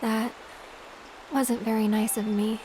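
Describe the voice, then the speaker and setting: A woman voicing a candid, wistful young woman in a video game, talking in a soft, conversational way. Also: on the high side, level tone, slow, slight background noise, rough recording. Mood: regretful, wistful. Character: candid, warm-hearted young woman, wistful and gently teasing. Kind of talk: English-language video game voice acting.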